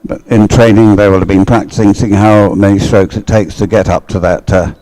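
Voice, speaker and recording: Deep-voiced man commentating on a race. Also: little pitch movement, dark tone, no room sound, rough recording. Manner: halting, thinking aloud in short phrases. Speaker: sports commentator. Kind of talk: live race commentary, explanatory and conversational.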